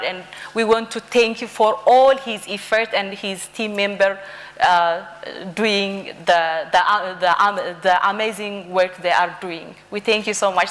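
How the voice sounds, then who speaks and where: A woman's voice: medium pitch, a bit bright. A woman speaking at a conference about her project, hesitant, with many fillers and restarts. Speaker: project representative. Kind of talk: conference speech.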